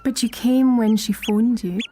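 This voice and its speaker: A woman's voice: a little high, fairly even intonation, unhurried, warm tone. A woman acting in an audio drama as a wry, supportive teacher, frank and dry-humoured, with a Scottish accent. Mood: gentle, wry.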